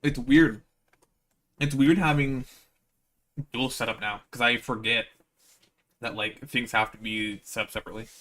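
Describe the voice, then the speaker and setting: A man's voice: mid-range voice, steady tone, normal speed, long pauses, a bit bright. A man livestreaming with slangy, playful, rambling casual chat to his viewers. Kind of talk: casual livestream chat, reading visual novel aloud.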